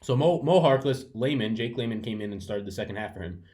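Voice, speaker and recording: A man's voice: lowish voice, lively intonation, fast talker, mellow. A man giving a casual webcam breakdown of fantasy basketball picks, rambling and correcting himself, sniffling from allergies. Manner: rambling, self-correcting, chatty. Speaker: fantasy sports analyst. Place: room at home. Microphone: webcam setup.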